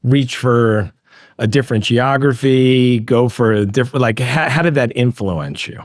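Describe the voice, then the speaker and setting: A man's voice: medium pitch, moderate pace, no echo, studio quality. A man hosting an interview in a conversational style, affirming his guest and rephrasing his follow-up questions as he goes. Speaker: interviewer, host.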